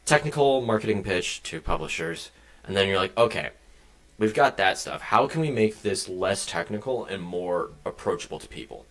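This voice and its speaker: A young man talks to his chat from his home desk as he codes. His voice sits low with a gravelly edge, but it swings lively up and down as he rambles from one tangent to the next. He swears offhandedly into a desk mic. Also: normal speed, poor audio. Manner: rambling, jumps between tangents, swears casually. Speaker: game developer streaming. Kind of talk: casual live-stream chat while coding.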